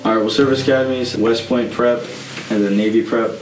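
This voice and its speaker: A man's voice: medium pitch, fairly even intonation, a little fast, roomy sound, soft background music, rough recording. A young man, a cadet battalion commander at a military school, speaking reflectively in a documentary interview. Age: young adult.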